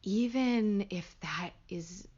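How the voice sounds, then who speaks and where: A woman leading a guided meditation as a teacher, gentle and hushed, with pauses between short phrases. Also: medium pitch, husky, dull audio.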